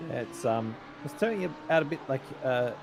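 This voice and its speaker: Man, Australian accent, gravelly voice, chatty race analysis, faint hum behind. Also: mid-range voice, expressive, normal speed. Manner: chatty, rambling analysis with laughs. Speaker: co-commentator. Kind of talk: sim racing color commentary.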